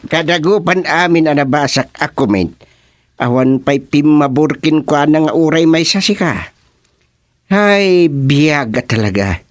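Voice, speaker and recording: A man plays an opinionated townsman in a radio comedy serial. His voice sits fairly high and swings with great animation, and it is recorded close and dry. He chatters with a mocking edge. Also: lively intonation, slow, no echo. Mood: amused, scoffing. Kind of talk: radio serial comedy drama dialogue in Ilocano.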